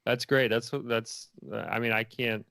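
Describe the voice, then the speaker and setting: A man's voice: mid-range voice, level tone, unhurried, vocal fry, sharp tone, clear, resonant tone, no echo, studio quality. A man speaking casually as an interview guest, a filmmaker who often repeats phrases as he builds his thoughts.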